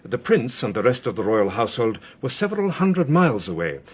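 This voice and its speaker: An actor playing a British government official in a radio drama, methodical, courteous and authoritative. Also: male voice, mid-range voice, big pitch swings, a little fast, husky. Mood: methodical explaining. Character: measured, probing male British government official.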